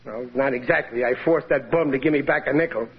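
An actor playing a harried working-class husband who is always broke, grumbling and exasperated in 1940s radio acting, on a muffled old broadcast. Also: male voice, medium pitch, fast, close to an everyday voice.

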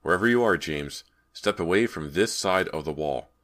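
A man's voice: sits low, animated, moderate pace, fairly bright tone. A man voicing an anxious, frightened young hero in a horror story, calling out urgently with a quaver. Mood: urgent reassurance.

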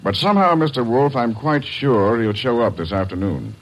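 An actor playing a formal, hesitant doctor, in 1940s radio acting style. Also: male voice, mid-range voice, lively intonation, normal speed, natural voice, low-quality recording. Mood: worried. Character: anxious male doctor, would-be victim.

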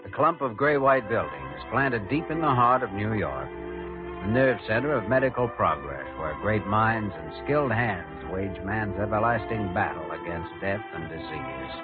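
An actor playing a calm, earnest young hospital doctor with measured delivery in an old radio drama. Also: male voice, fairly low pitch, music in the background. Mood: solemn. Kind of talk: 1940s-50s American radio drama acting. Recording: muffled old radio broadcast, musical backing.

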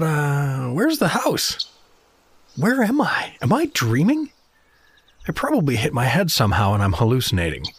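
An actor playing a hapless, grumbling suburban dad who talks to himself in an audio comedy drama. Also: male voice, medium pitch, breathy edge, no echo, heavily acted. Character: tragically average, hapless suburban dad from South Dakota.

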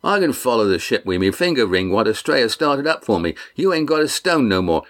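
A male narrator voicing a blunt, loyal innkeeper turned sailor, speaking in a folksy dialect with dropped grammar, pitched above his own voice. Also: sing-song, fairly quick, fairly bright tone. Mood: gruff.

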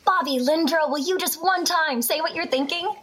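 A woman's voice: very high-pitched, normal speed, a bit bright. A woman in an audio drama playing a feisty, bossy young woman with an assertive, sarcastic, prodding manner. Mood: insistent. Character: feisty, bossy young woman, sharp-tongued with her bandmates.

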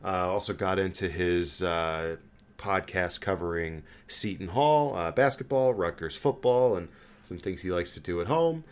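A man hosting a podcast, chatting casually about sports in a halting, conversational way. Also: low-pitched, smooth. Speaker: podcast host.

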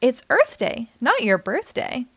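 A woman's voice: sits high, huge pitch swings, unhurried, husky, dry recording, full character voice. A woman voicing a toothy, smiling male crocodile who gives instructions in a storybook. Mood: smiling, instructive.

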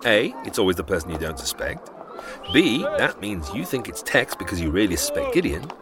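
A man's voice: low-pitched, very animated, brisk, over music. A man playing a suave, teasing, unruffled leading man in a romantic radio mystery. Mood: teasing, exasperated. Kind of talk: radio drama acting.

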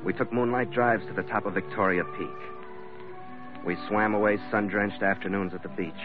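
A man's voice: fairly low pitch, fairly even intonation, moderate pace, lightly acted. An actor playing a tough, hot-tempered sea captain who narrates his own adventure in a terse, dramatic old-radio style. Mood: wistful. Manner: hard-boiled, terse, dramatic delivery. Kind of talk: 1940s-50s radio adventure drama acting with first-person narration. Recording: dull vintage radio recording, loud music behind.

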